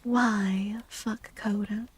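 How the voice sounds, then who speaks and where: A woman streaming soft-spoken ASMR tarot readings, speaking gently and breathily, often dropping into a whisper and repeating her words. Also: mid-range voice, fairly even intonation, extremely slow, slightly rough. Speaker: live streamer doing tarot readings for chat.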